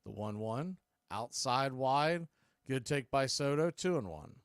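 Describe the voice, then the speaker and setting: A man doing live radio-style baseball play-by-play as the announcer, making clipped pitch calls in short phrases. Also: expressive, unhurried, clear, resonant tone, no echo, studio quality.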